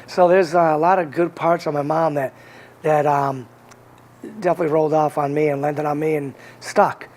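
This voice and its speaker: A man's voice: fairly high pitch, steady tone, normal speed, a little mellow, a little hiss or hum. A man from Connecticut with a slight Boston accent, telling his life story as an interview guest in an earnest, rambling way with many restarts. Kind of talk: casual conversational interview.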